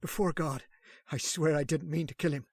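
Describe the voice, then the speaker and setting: Radio drama: actor as a panicked older uncle, stammering confession, raised pitch. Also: male voice, fairly even intonation, moderate pace, no room sound, clean studio sound. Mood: anguished confession. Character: guilt-stricken older uncle, frantic murderer. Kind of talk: old-time radio drama acting.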